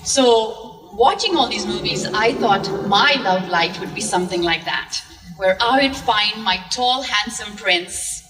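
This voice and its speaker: A woman telling her personal story on stage in a slow, dramatic, poetic way. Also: mid-range voice, a bit bright. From Zambia. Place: art museum event stage with audience. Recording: distant mic in a reverberant hall.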